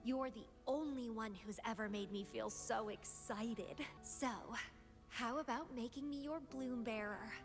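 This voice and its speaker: High-voiced, teasing, obsessive young woman. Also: a little slow. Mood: teasing, excited. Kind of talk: anime-style video game voice acting. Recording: loud music behind the voice. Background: music.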